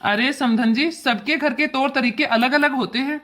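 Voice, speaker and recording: A woman's voice: high voice, moderate pace, fairly bright tone. A woman voicing an indignant, protective father who lectures emphatically in a cartoon family drama. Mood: stern lecturing. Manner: emphatic, lecturing, repetitive scolding.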